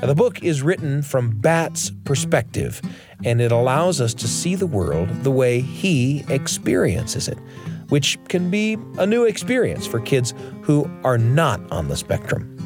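A man's voice: medium pitch, very animated, measured pace, music in the background. A man hosting a radio show, reading scripted narration. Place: studio.

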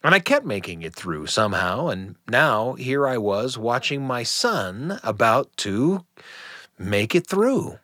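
A middle-aged man hosting a radio show, telling a personal family story in a gentle, reflective, earnest way. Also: medium pitch, very animated, slow, no echo, clean studio sound.

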